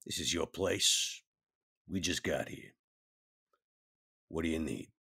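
A man playing a plainspoken, laid-back tough vampire in a tabletop game, with a gravelly, folksy delivery. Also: very deep voice, slow pace, sharp tone, exaggerated voice. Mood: wry, plainspoken.

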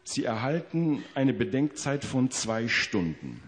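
A man interpreting a witness's testimony into German in court, pausing to search for words. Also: medium pitch, very animated. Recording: old 1960s courtroom tape.

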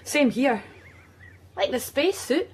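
Teen daughter, radio drama, dry n shaken, high voice swinging around. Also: female voice, animated, a little slow, a little hiss or hum.

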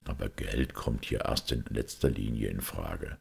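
Guy doing a sombre priest detective, super low and really husky, measured audiobook talk. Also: full character voice. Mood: explaining. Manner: measured, reflective explaining. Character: grave, thoughtful priest detective, calm and sombre. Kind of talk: audiobook character acting.

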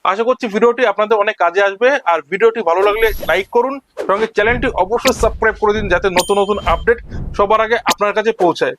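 A man's voice: high-pitched, fast, a bit bright, a little hiss or hum. A man narrating an instructional screen-recording tutorial, explaining each step in turn as a voice-over. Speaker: tech tutorial host.

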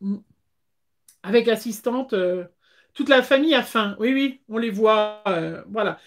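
A woman, chatting casually as the host of a craft livestream, with hesitant pauses. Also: mid-range voice. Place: small indoor craft room.

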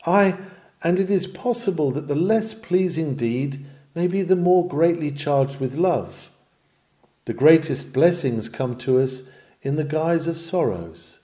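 Mellow-voiced man reading a sermon aloud. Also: a little high, lively intonation, measured pace. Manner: earnest, rhetorical, emphatic questioning. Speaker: reader of historic sermons. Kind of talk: sermon read aloud, oratorical.

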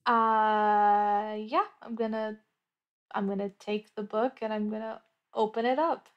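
A woman's voice: medium pitch, level tone, a little slow, clean tone, dull audio. A woman playing in a casual, improvised tabletop roleplay podcast, hesitant and questioning. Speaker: player in an actual-play podcast.

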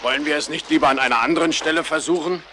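A man's voice: on the high side, very fast, background noise, natural voice. A man voicing a dry, talkative, reassuring bird companion in a cartoon audio play. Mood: sceptical.

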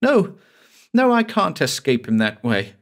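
A desperate young woman begs in a high, frantic voice, as a male narrator puts her on. Her pleas come out strained and pitched far above his usual register, tense and urgent. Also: very animated, measured pace. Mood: pleading desperately.

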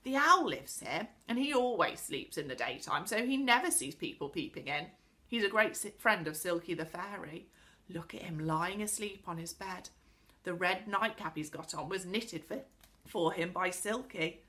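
Woman playing a young storybook boy, animated pitch, bright, brisk, teasing. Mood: reassuring. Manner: lively, matter-of-fact, calling out.

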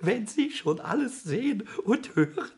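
A tiny male kobold from a vintage radio play. The voice is high and squeaky, swooping wildly up and down. He is mischievous and excitable, cackling and tripping over his words with glee. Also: measured pace. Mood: gleeful, giggling. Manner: excited, giggly, stammering with glee. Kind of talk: 1960s radio play acting.

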